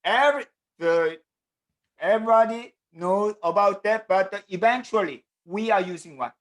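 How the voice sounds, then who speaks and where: A middle-aged man from South Korea, Korean by native language, teaching an online programming class in English with a Korean accent. He talks in halting bits, with many fillers and an often repeated "the". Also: high-pitched. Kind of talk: online class lecture over video call. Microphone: computer mic on a video call.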